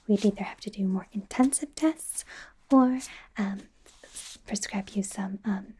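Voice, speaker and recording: A woman voicing a nervous, gentle female werewolf doctor in a soft, breathy whisper, hesitant and reassuring, for an ASMR exam roleplay. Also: medium pitch, measured pace. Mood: careful, nervous. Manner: hesitant, self-correcting, giggly, reassuring.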